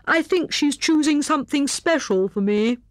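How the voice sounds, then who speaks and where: A woman voicing a whimsical, vain male hare in a children's storybook, in a pompous, drawn-out manner. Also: sits high, expressive, dry recording, studio quality, voice pushed up. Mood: self-satisfied.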